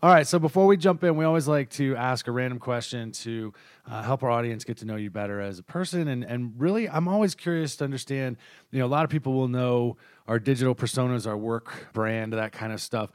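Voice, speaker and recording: A man's voice: medium pitch, a little fast, smooth, dry recording, studio quality. A man hosting a podcast interview in a casual, talkative way, often rephrasing himself as he goes. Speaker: podcast host.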